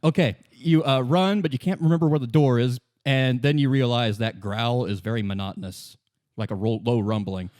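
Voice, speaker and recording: A man narrating casually as game master of a tabletop roleplay, halting and repeating his words as he goes. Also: medium pitch, a little mellow, no room sound, studio quality.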